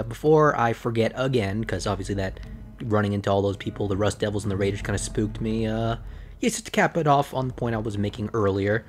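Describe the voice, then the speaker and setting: Slightly hoarse man, rambling game commentary. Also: medium pitch. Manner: rambling, chatty, frequent fillers and self-corrections. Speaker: gameplay commentator. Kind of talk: casual gameplay commentary. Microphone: desk microphone.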